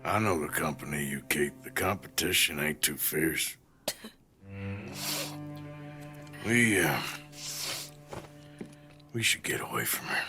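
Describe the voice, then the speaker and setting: An actor playing a weary, gruff American outlaw with a Western drawl, terse and plain-spoken, in a dramatic video game. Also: male voice, rumbling low, lively intonation, unhurried, long pauses, over music, full character voice. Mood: subdued, gentle.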